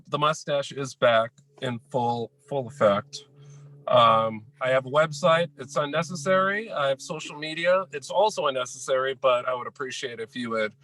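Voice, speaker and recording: A man's voice: on the high side, pushed and bright, no echo. A man co-hosting a podcast over a video call, understated and dry in his delivery. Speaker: podcast co-host. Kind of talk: casual podcast chat.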